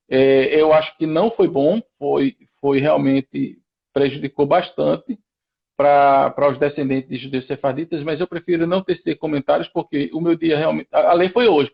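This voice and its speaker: Gravelly Pernambuco-accented man explaining. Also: fairly high pitch, dull audio. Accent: Pernambuco Brazilian Portuguese. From Recife, Brazil. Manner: methodical, explains step by step with frequent restarts. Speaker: immigration lawyer guest. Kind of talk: explanatory live-stream interview.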